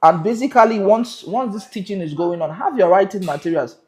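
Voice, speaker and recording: A man with a Nigerian accent hosting a livestream, chatting to viewers in a lively way and slipping into pidgin. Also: sits high, fairly quick, reverberant. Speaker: live stream host.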